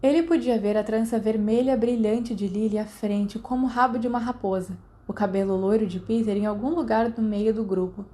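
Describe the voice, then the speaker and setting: A woman's voice: a little high, steady tone, brisk, hardly any pauses, mellow. A woman with a Brazilian accent reading scripted audiobook narration. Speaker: podfic narrator.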